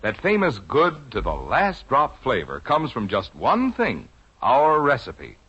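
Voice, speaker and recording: A man's voice: medium pitch, huge pitch swings, slow pace, sharp tone, husky. An American man reading a scripted, persuasive 1950s radio commercial as an announcer. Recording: muffled old radio recording.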